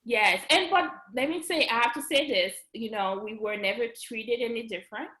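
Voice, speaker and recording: A woman's voice: on the high side, normal speed, sharp tone. Woman, 30s, Haitian accent, chatty podcast guest, echoey video-call sound. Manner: chatty, laughs often, many fillers and restarts. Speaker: guest, registered nurse and business owner. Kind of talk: casual interview chat. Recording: roomy echo.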